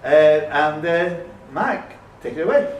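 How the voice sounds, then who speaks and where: A man with a fairly high voice makes casual host announcements for a quiz. The room rings with echo and he is a little far from the mic, with some noise. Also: lively intonation, slow, lo-fi sound. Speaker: quiz host introducing the show. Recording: echoey room, picked up from afar, noise.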